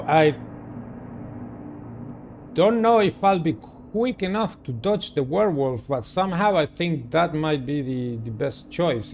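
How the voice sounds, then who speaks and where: A man hosting a game playthrough, reading the story aloud in a dramatic, deliberate way and adding his own commentary. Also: on the high side, slight background noise. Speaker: gaming video host. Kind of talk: reading visual novel narration aloud with personal commentary.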